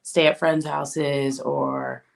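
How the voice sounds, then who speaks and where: A young woman from Japan talks as a guest over a phone video call, loose and chatty, with lots of "like" and easy laughs. Her voice sits low and has a gravelly fry. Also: level tone, drawn-out words. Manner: laughs often, many "like" fillers. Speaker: guest singer-songwriter. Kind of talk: casual interview chat.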